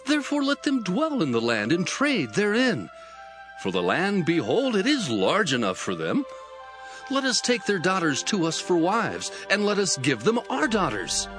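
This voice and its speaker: Hamor, a coaxing chieftain. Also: male voice, high-pitched, wildly swooping pitch, normal speed. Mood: persuasive. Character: Hamor, older male chieftain, persuasive. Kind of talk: dramatized scripture reading. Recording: music bed behind voice.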